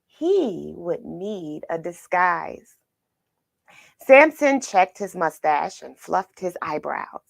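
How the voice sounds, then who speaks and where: Animated, unhurried woman reading a storybook. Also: medium pitch, creaky, no room sound. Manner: expressive, dramatic pauses, asks the listener questions. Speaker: children's storybook reader. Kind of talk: animated read-aloud storytelling.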